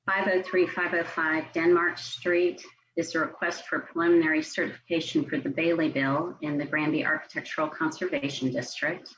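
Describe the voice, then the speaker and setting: A woman's voice: low-pitched, flat delivery, normal speed, fairly bright tone. An American woman speaking as a city staff member, formally reading out procedure and agenda items at a public online meeting. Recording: muffled online meeting audio, faint noise.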